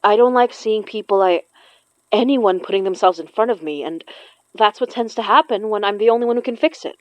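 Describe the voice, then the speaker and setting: A woman's voice: medium pitch, brisk, dry recording, muffled recording, close to an everyday voice. A woman playing a determined, protective exorcist in a horror audio drama, earnest and blunt in manner. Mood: weary, blunt.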